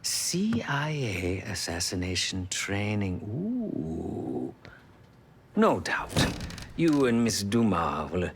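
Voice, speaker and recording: A man's voice: on the low side, huge pitch swings, slow, a little hiss or hum, exaggerated voice. An actor playing an eccentric, theatrical tech genius in a video game cutscene, savouring his words with dramatic phrasing. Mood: amused, teasing. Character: eccentric, theatrical male intelligence hacker and gadget maker.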